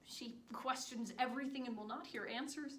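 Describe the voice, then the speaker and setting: A woman plays a devoted, proud country wife in a period stage monologue. The voice is fairly high, with earnest, formal, old-fashioned diction. It is picked up from a distance in a reverberant room, with a faint layer of noise behind it. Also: moderate pace, natural voice. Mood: upset, apologetic. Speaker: stage actress performing monologues. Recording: echoey room, distant mic, background noise.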